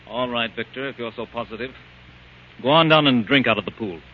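A man's voice: medium pitch, fairly quick, close to an everyday voice. An actor playing a stoic, protective man stranded in a wasteland, firm and earnest in old-time radio style. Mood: angry. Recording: dull, hissy vintage radio recording.

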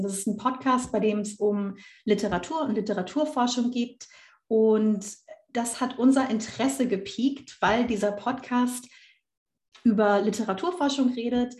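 A woman's voice: medium pitch, darkish tone, reverberant. A young woman from the Rhineland hosting a student podcast, talking in a thoughtful way with frequent hesitations. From Rhineland, Germany. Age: young adult. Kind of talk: casual academic discussion.

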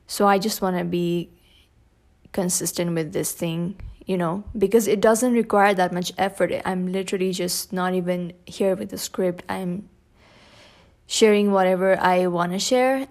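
A young woman hosting her own podcast, talking without a script in a hoarse, scratchy voice while grieving, with many pauses and soft fillers. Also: medium pitch. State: grieving, holding back tears. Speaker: podcast host. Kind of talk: unscripted personal podcast talk.

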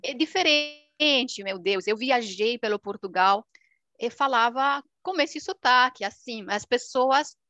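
A young woman from Romania, a native Romanian speaker with a Romanian accent, chatting casually in an expressive, animated way. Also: fairly high pitch, takes it slowly, dry recording, dull audio. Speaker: language video creator. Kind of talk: casual chat.

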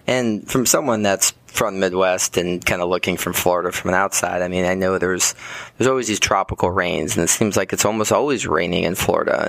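Low-voiced male podcast host, dry sound. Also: vocal fry, fairly bright tone, dry recording. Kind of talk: podcast interview.

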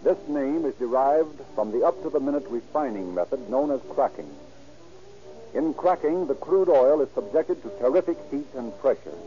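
A man's voice: mid-range voice, warm tone. A man working as a radio announcer, reading scripted copy in the formal, emphatic style of 1930s radio. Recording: muffled 1930s radio recording.

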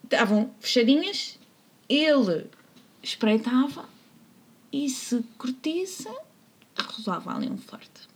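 A woman with a European Portuguese accent, co-hosting a podcast and telling a history story in a playful, jokey way, slipping into quiet asides. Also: high voice, sing-song, slow pace, pauses often, slightly rough. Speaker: podcast co-host. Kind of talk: casual storytelling about history.

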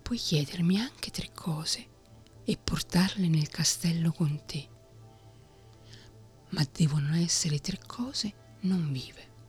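A woman's voice: fairly low pitch, darkish tone, breathy edge, soft background music, exaggerated voice. A woman reading a fairy tale, whispering the lines of a king granting a request. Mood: kindly. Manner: whispered, measured.

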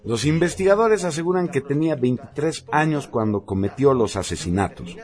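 A man's voice: medium pitch, expressive, normal speed, darkish tone. A man presenting a scripted radio newscast as one of its anchors.

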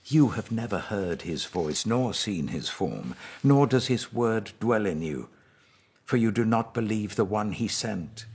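A man acting out a grave, commanding holy teacher in a scripture reading, with a very deep, husky British voice. He speaks slowly and deliberately, with solemn authority. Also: lively intonation, darkish tone, heavily acted. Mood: solemn, insistent.

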